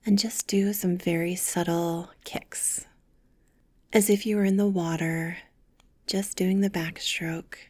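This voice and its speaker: A woman leading a guided meditation with soft, gentle, soothing instructions, breathy and often close to a whisper. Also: on the low side, no room sound.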